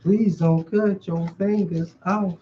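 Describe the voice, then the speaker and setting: A man's voice: high-pitched, steady tone, slow pace, warm tone, dull audio, a little hiss or hum. A man talking casually to himself while he works on a craft project, muttering, humming and breaking into song. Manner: mutters, hums and sings along while working. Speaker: artist and channel host.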